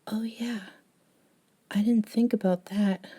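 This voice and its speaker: Woman doing a quirky gentle young friend for asmr roleplay, soft relaxed voice, takes her time. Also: medium pitch, takes it slowly, breathy edge. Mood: tentative, caring. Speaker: audio roleplay performer. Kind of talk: intimate ASMR audio roleplay.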